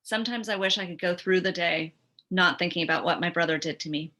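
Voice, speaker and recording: A woman with a bright, sharp-edged voice pitched on the low side reads poetry aloud. She is a mentor speaking over a video call. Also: fast. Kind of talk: reading a poem aloud.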